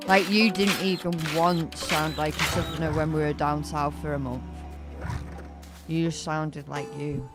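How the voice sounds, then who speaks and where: Chatty young English woman over loud music. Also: deep voice, fairly even intonation, a little slow. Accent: English, mixed northern and southern. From England. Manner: chatty and rambling, many "like" fillers. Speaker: gaming streamer. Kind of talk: casual chat while playing a game.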